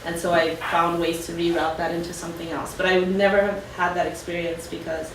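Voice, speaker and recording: A woman's voice: fairly low pitch, little pitch movement, fairly quick. A woman on a career panel who gives casual, chatty advice with many fillers. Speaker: alumna panelist. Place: lecture room. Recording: echoey room, distant mic, background noise.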